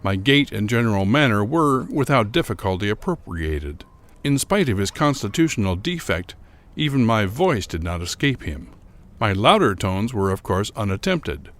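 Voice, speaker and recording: A man playing a troubled, bitter gentleman who narrates a gothic horror tale in a formal, deliberate, theatrical manner. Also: lowish voice, close to an everyday voice. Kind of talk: dramatic first-person reading of gothic horror fiction.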